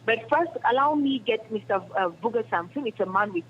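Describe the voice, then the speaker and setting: A woman's voice: on the high side, expressive, a little fast, a bit bright. Muffled Cameroonian woman reporter on the line. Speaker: radio reporter. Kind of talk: live interview introduction. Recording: muffled noisy remote phone-in line.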